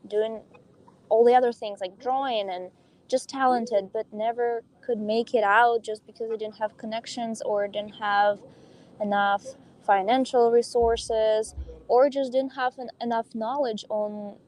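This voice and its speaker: A young woman speaks openly in a podcast interview, her colouring her English. Her voice sits on the higher side. She talks in a loose, chatty way, with soft laughs and ums between her thoughts. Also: steady tone, measured pace. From Russia. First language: Russian. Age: young adult. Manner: open and chatty, with light laughs and filler ums. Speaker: guest, professional pickleball player.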